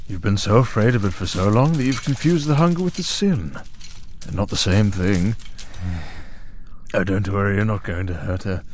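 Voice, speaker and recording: A man playing a smug, tempting servant of a dark master in an ASMR audio drama, speaking in a deliberate, taunting, persuasive way. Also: low voice, a little hiss or hum, lightly acted. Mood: taunting, seductive. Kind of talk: ASMR audio roleplay acting.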